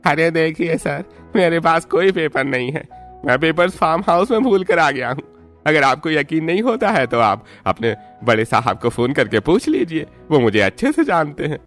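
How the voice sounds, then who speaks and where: Man playing honest farmer uncle, earnest, explanatory, cartoon, fairly high pitch, swooping intonation, soft music behind. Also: very animated, normal speed. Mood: protesting. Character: honest, protesting farmhouse vegetable-truck driver uncle.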